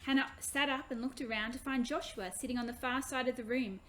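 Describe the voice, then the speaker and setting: A woman reading her own children's book aloud in an expressive, animated way. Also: high voice, a little fast, a little hiss or hum.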